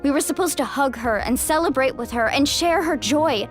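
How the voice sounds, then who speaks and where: A woman's voice: high voice, normal speed, lightly acted. An actress voicing a passionate young warrior woman in a video game, speaking earnestly and with open emotion. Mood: grieving. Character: passionate young warrior woman grieving her lost friend. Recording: music behind the voice. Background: background music.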